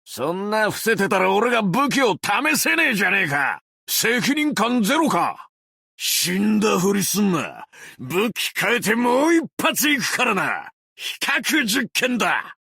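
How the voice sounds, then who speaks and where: A man playing a brash, cocky explosives fanatic with a tail in an anime game, talking in rough, swaggering slang with a gravelly voice. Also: high-pitched, very animated, a little slow, pushed and bright, no echo, clean studio sound, exaggerated voice. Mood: bossy, fired up. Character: brash tailed male explosives fanatic, cocky bureau officer. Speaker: game character voice lines. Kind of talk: Japanese anime game voice acting.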